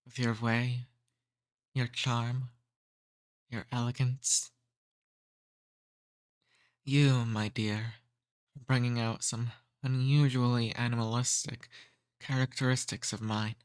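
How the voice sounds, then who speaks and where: Casting: male actor for an ancient noble vampire, mid-pitched male voice, charming and softly dominant, intimate ASMR roleplay. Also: little pitch movement, clear, resonant tone, lightly acted. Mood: enraptured, flirtatious. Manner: courtly, slow seductive teasing.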